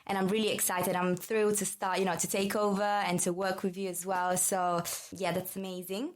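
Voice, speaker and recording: A woman's voice: medium pitch, flat delivery, a little fast. Italian-accented young woman, chatty. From Italy. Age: 20s. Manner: friendly, chatty, many fillers. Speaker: college marketing manager. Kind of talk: casual podcast chat.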